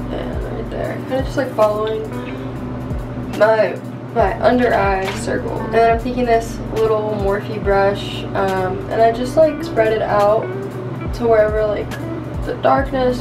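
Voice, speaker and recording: Young woman, relaxed chatty vlog talk, fairly high voice, a bit off-mic, music underneath. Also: far from the mic, rough recording. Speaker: vlogger. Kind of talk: casual makeup vlog chat. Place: small bedroom with bathroom doorway, indoors. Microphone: camera set up at arm's length.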